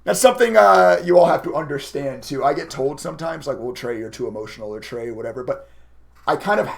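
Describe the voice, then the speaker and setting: Male live-stream trader, slightly higher-pitched with a gravelly rasp, casual and chatty, openly emotive. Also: quick pace. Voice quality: raspy. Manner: chatty, emotive, laughs often. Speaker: livestreaming day trader and content creator.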